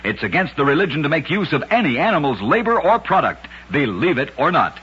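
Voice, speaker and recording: A man tells curious tales in the manner of an old-time radio narrator, dramatic and deliberate. His voice sits fairly high and is forceful, with a rough husky edge. It reads like scripted broadcast storytelling. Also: sing-song. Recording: dull old radio recording.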